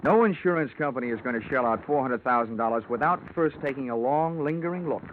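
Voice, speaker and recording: A man's voice: mid-range voice, fast, a little hiss or hum, lightly acted. Tinny vintage radio recording, wry hard-boiled investigator played by a man. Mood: dry, probing. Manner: dry, wisecracking, clipped. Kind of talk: 1940s-50s radio detective drama acting and narration.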